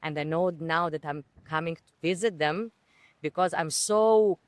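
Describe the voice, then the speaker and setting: A woman's voice: low voice, normal speed, no room sound. Earnest, reflective woman guest from Ukraine. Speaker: podcast guest. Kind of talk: personal storytelling in conversation.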